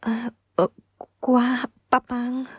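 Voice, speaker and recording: An actress with an Ilocano accent playing a meek, anxious young wife in a radio drama, hesitant and placating, with stammers. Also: female voice, fairly high pitch, little pitch movement, drawn-out words, darkish tone, slightly rough, dry recording, exaggerated voice. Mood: meek, fearful. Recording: dry studio with background music.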